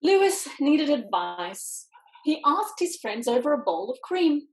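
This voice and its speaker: Woman doing kids storytime reading, higher voice, very expressive, echoey room on a video call. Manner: expressive, dramatic pauses and emphasis on words. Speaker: picture book author reading aloud. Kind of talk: animated children's storytime reading with quiet asides. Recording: roomy echo on video call.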